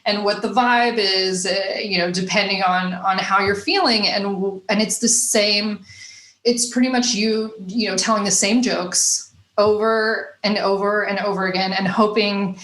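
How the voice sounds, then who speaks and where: A woman's voice: mid-range voice, fairly even intonation, a little slow, fairly bright tone. A woman from Nashville with a faint Southern accent, talking through her stories as a guest comedian in a loose, rambling way, full of "you know" and "like.". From Nashville, Tennessee. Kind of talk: casual interview chat. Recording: roomy echo.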